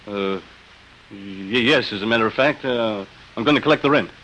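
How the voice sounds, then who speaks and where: A man's voice: fairly low pitch, normal speed, pushed and bright, low-quality recording. An actor playing an anxious, hesitant ordinary man under threat in a radio drama, nervous and urgent. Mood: uneasy. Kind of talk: 1950s American radio drama acting. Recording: hissy old radio recording.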